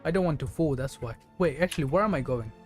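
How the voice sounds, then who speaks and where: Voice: medium pitch, lively intonation, fast talker. Chatty game streamer, music behind. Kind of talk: casual live game commentary.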